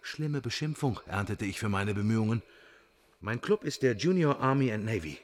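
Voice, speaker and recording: A man acting a boastful, evasive gentleman in a radio drama, formal, self-important and eager to ingratiate himself. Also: fairly low pitch, lively intonation, normal speed, close to an everyday voice. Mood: self-righteous.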